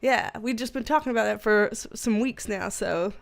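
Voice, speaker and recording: A woman's voice: moderate pace, vocal fry. A woman with a nasal, stuffy voice, chatting casually and giggling as she hosts a livestream. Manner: bubbly, giggly, greets viewers with high squeals. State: stuffy with sinus trouble, sniffly. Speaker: tarot reader hosting a livestream. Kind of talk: casual livestream chat greeting viewers.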